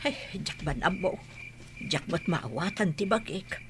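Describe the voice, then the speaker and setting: A woman's voice: lowish voice, lively intonation, unhurried, slight background noise. A woman playing a blunt, grumbling household helper in a radio comedy, with an Ilocano accent. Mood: weary. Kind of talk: radio comedy drama acting.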